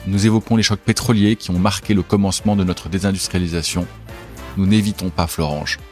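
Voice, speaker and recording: A man in his forties hosting a business podcast, reading a measured introduction and then chatting casually with a guest. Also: sits low, soft background music. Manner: measured, deliberate reading; relaxed and hesitant in conversation. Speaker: podcast host.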